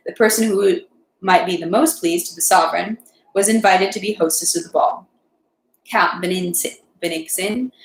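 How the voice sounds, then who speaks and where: Female amateur reader for literary narration, medium pitch, slightly unpolished delivery, recorded in a reverberant home room. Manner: unpolished amateur read-aloud, stumbles and rereads. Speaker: reader of a read-aloud series. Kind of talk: reading a classic novel aloud. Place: indoors at home, echoey room.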